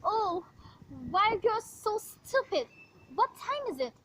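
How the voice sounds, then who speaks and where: Radio play role, female actor: nervous, demanding invalid wife, very high pitch, indignant and melodramatic. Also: big pitch swings, slow pace, fairly bright tone, full character voice, rough recording. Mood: indignant, insistent. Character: anxious, demanding invalid wife alone at home. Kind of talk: amateur radio play acting.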